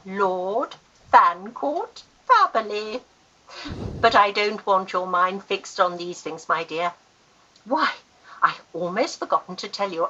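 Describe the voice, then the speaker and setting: A woman's voice: medium pitch, pushed and bright, slightly rough, muffled recording, heavily acted. A woman playing a worldly older aunt in a Victorian radio play, grand, fond and wryly teasing. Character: worldly, affectionate older aunt and wealthy guardian, teasing, wry. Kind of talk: Victorian period radio acting.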